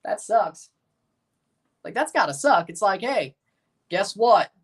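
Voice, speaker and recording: A man's voice: high-pitched, expressive, slow pace, fairly bright tone, breathy edge, recorded from a distance. A man with a Southern American accent chatting casually and rambling through a live match watchalong, with some mild swearing. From United States. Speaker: streamer.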